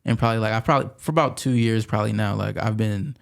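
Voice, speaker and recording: A man's voice: on the low side, fast, gravelly. A man in his twenties, a rapper from the Pacific Northwest, telling stories in slangy, laid-back podcast chat. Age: 20s. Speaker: rapper guest.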